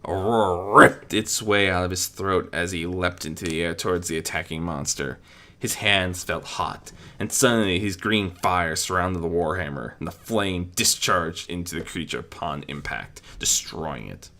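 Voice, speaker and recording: A man's voice: sits low, moderate pace, sharp tone. A man reading a scripted adventure story as narrator, dramatic in delivery, with an amateur's occasional stumble.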